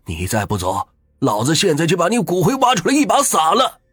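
A man voicing a fierce old husband who shouts and curses to protect his dying wife in a spoken ghost story. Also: on the high side, wildly swooping pitch, brisk, husky, no echo, studio quality, voice pushed up, full character voice. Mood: furious. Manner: shouting, cursing.

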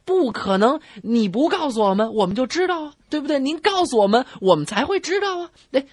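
Theatrical male radio comedy host, wildly varied pitch. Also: very animated, brisk, dry recording, studio quality. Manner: theatrical, wordy, repetitive. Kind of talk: scripted comic radio banter. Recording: music bed behind the voice. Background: music.

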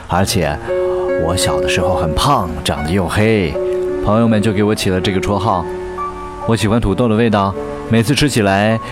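An actor playing a wistful, tender young boyfriend in a radio drama, narrating and talking in a soft, reflective way. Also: male voice, sits low, natural voice. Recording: music behind the voice.

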